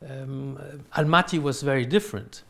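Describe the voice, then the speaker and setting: A man with a French accent answering interview questions in halting, unscripted non-native English. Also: mid-range voice, creaky, fairly bright tone. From France. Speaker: interview guest, real estate company owner. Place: in his apartment.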